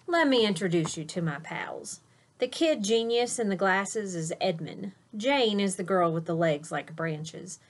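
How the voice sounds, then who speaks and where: A woman's voice: medium pitch, expressive, a little slow. A woman voicing a cocky schoolboy class clown from a storybook, boastful and emphatic.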